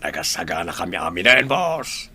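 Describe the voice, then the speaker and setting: A man's voice: low-pitched, sing-song, brisk, bright, forceful tone, husky, exaggerated voice. A man with an Ilocano accent acting a loyal, eager crew worker in a radio drama.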